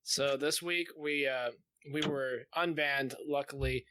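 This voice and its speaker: A man's voice: medium pitch, steady tone, slow pace, sharp tone, clean tone, no echo, poor audio. A man co-hosting a podcast, bantering casually in a wry, joking way. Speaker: podcast co-host.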